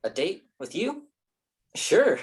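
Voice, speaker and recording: Man acting a whiny, dramatic actor, swooping pitch. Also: wildly swooping pitch, takes it slowly, husky, full character voice. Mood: flirty, teasing. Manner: whiny, theatrical, pretentious. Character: dramatic, flirty, self-pitying actor in his mid-thirties. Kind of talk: staged play reading.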